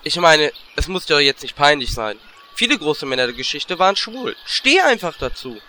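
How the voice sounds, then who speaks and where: Guy doing a cheeky gnome warlock, sharp bright highish voice, fantasy spoof, bit of noise. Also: big pitch swings, normal speed. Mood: mocking. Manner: brash, teasing. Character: cheeky fearless little male gnome warlock. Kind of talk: parody fantasy audio drama acting.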